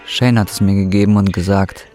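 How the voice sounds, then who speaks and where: A man's voice: low voice, steady tone, fairly quick, warm tone, faint music behind, natural voice. An actor playing a bitter, defiant, broke injured roofer in his twenties, in a German radio drama. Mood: dark, brooding. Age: 20s. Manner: embittered, colloquial, confrontational. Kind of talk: German radio drama acting and brooding first-person narration.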